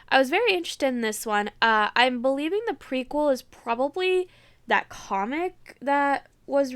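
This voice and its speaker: A woman's voice: high voice, clean tone. A woman hosting a reaction video in a casual, blunt, chatty way, congested with a cold.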